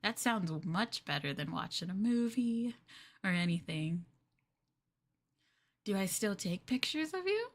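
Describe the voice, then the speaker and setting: Woman playing a slow, sweetly obsessive yandere girlfriend. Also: medium pitch, big pitch swings. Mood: adoring, possessive. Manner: soft, coy, doting, hesitant and flustered. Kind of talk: ASMR girlfriend roleplay.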